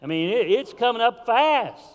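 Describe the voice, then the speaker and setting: Impassioned preacher in a reverberant church. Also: male voice, very high voice, sing-song, normal speed, clear, resonant tone. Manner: impassioned preaching with sudden drops to a hush. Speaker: pastor. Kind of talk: sermon. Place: church sanctuary. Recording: hall reverb.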